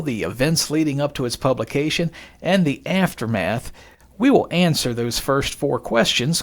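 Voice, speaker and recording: A man's voice: a little high, vocal fry. A man hosting a podcast, reading scripted narration in a folksy, conversational way with joking asides and rhetorical questions. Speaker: podcast host.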